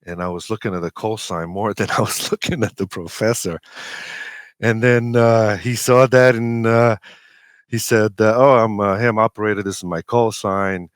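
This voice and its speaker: A man in his forties with a Romanian accent, a native Romanian speaker, telling personal stories as an interview guest in a halting, hesitant way with many pauses. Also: lowish voice, steady tone, smooth, no room sound, clean studio sound. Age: 40s. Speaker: interview guest, amateur radio operator.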